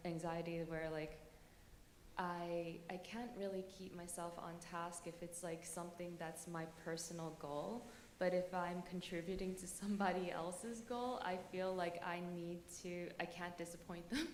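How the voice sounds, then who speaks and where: A woman with a low voice talks casually at a conference panel. She rambles, fills gaps with hesitations and lets out nervous little laughs. The room is echoey, with a faint hum of ambience behind her. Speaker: artist panelist. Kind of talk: casual talk to a live audience. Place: conference hall.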